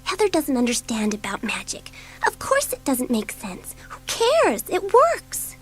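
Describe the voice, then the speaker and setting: An excitable schoolgirl played by a woman speaks in a very high, wildly swooping voice. She is eager, headstrong and dramatic, as in a children's audiobook drama, with music underneath. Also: measured pace, heavily acted. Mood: wistful, defiant. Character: bored, impulsive, excitable schoolgirl dabbling in magic.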